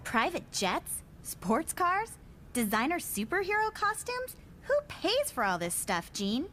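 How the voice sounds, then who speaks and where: An excitable teenage girl, played by a woman, high-pitched and very animated. She is inquisitive and eager, a new pupil in an animated comic. Faint rumbling noise is behind her. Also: measured pace, full character voice. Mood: curious. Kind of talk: motion comic voice acting.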